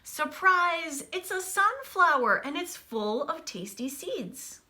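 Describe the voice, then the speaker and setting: A woman teacher reading a picture book aloud to children, expressively, with casual, hushed explanatory asides. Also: very high-pitched, slow pace. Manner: animated storybook delivery, dropping to hushed asides.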